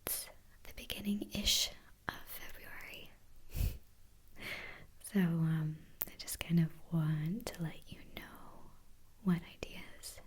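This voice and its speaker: A woman talks in a soft whisper to her channel viewers, in an unhurried ASMR chat that keeps wandering off topic. Her voice sits a little low. She takes her time over every phrase. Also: steady tone, breathy edge, poor audio. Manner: soft whispering, rambling and digressive.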